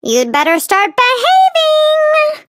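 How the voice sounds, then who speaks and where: A woman's voice: very high-pitched, huge pitch swings, takes it slowly, fairly bright tone, no echo, clean studio sound, exaggerated voice. A woman voicing a prim, doting and haughty motherly alien flea queen for a video game, theatrical and sing-song as she scolds and coos. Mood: stern, disdainful. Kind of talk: video game character voice lines.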